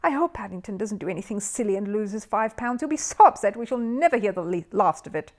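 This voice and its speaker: A fond, fretting mother, voiced by a woman reader. She is gentle and anxious in a children's storybook way, with an expressive middle-pitched voice. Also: fast, natural voice. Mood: worried.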